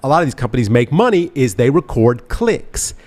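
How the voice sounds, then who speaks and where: A man's voice: medium pitch, very animated, brisk, darkish tone. A man co-hosting a casual talk radio show, chatting animatedly and quoting others in mock voices.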